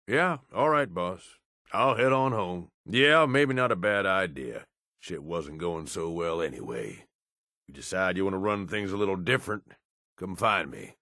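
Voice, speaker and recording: An actor playing a gruff, cynical raider henchman in a video game, blunt, foul-mouthed and grudgingly loyal, talking in a rough colloquial drawl. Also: low-pitched, a bit bright, no room sound, studio quality, full character voice. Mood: dry, resigned. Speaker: video game companion character. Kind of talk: video game dialogue lines.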